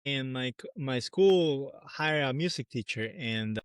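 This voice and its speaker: A man from Peru whose first language is Spanish, a guest drummer speaking in an easygoing, soft-spoken way and searching now and then for English words. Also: medium pitch, animated, measured pace, clear, resonant tone, dry recording, studio quality. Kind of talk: casual podcast interview.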